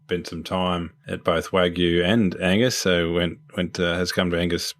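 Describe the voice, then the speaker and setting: A man hosting a podcast, talking in a relaxed, rambling way as he introduces and questions his guest. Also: low-pitched, normal speed. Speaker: podcast host. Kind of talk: casual interview chat.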